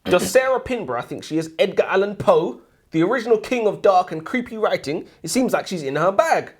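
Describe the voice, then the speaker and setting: A man's voice: high-pitched, animated, fairly quick, breathy edge. A man co-hosting a book podcast in casual banter, slangy and emphatic, often repeating his phrases. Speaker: book review podcast co-host.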